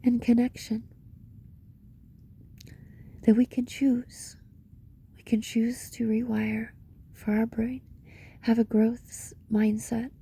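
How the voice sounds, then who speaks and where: A woman leading a guided meditation in a reflective monologue, speaking in short, paused phrases with a breathy voice that often slips into a whisper. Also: a little high, flat delivery, dark tone. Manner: slow, pausing between short phrases.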